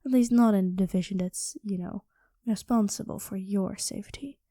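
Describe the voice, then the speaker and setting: Dragon queen, ASMR roleplay female performer: coy, possessive, higher-pitched yet velvety and relaxed, slipping into intimate whispers. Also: no room sound. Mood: tender, possessive. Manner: teasing, coy, intimate, often dropping into whispers. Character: playful possessive dragon queen, affectionate with captive royal.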